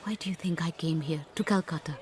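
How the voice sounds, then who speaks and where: A woman's voice: low-pitched, brisk, darkish tone, natural voice. A woman playing an earnest, lonely, world-weary and self-sacrificing woman in a radio drama, with formal, pleading phrasing. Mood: tender, pleading.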